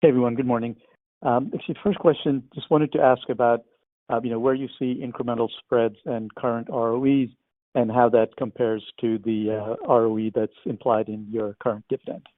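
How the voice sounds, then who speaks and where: A man's voice: mid-range voice, fairly even intonation, a little fast, dry recording. A man asking questions as an analyst on an earnings call, informal and somewhat hesitant in phrasing. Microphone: telephone. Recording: dry, tinny phone line.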